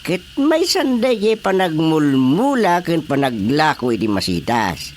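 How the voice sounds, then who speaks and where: A woman's voice: low-pitched, sing-song, measured pace, darkish tone, a little hiss or hum. A woman with an Ilocano Filipino accent playing an easygoing, amused, placating village chairman in a radio drama comedy. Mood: explaining.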